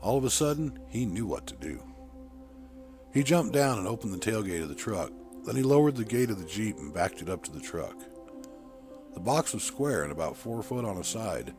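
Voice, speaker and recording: Low-voiced man narrating a story over music. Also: sing-song, normal speed, darkish tone. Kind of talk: scripted audiobook narration. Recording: music bed under voice. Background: background music.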